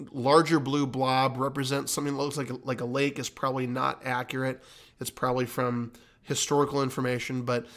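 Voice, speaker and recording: A man's voice: fairly high pitch, a bit bright. A man giving an explanatory commentary like an instructor, teaching emphatically and repeating key points. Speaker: flight instructor and host.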